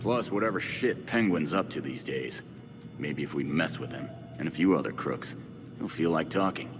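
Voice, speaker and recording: A man's voice: very deep voice, animated, moderate pace. A man playing a hot-headed, blunt young vigilante hero in a video game cutscene. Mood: brooding. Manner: blunt, intense. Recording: long reverb, music behind.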